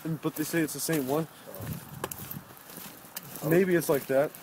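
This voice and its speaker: A young man co-hosting a casual podcast outdoors, animated and profane, recorded on a friend's phone. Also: on the high side, measured pace, noisy background. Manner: animated, swears often, gets louder when making a point. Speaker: podcast co-host. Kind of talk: casual chat while walking outdoors. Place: outdoors on a cold walk. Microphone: friend's handheld phone.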